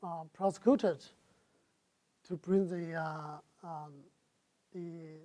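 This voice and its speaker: A middle-aged man from China with a Chinese accent, answering audience questions in a lecture hall on a lapel mic, halting and full of "uh" fillers and restarts. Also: a little high, fairly even intonation, mellow. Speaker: guest lecturer.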